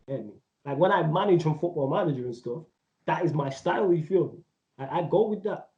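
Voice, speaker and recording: A man's voice: fairly high pitch, warm tone. A man in his thirties co-hosting a casual football debate, rambling and emphatic, full of slang. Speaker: podcast co-host. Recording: echoey, dull audio.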